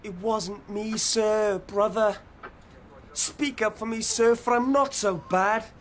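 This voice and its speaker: An actor playing a whining, violent fourteen-year-old British gang leader in a radio drama, talking in florid teen slang. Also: male voice, high-pitched, steady tone, slow pace. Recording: noisy radio drama sound scene.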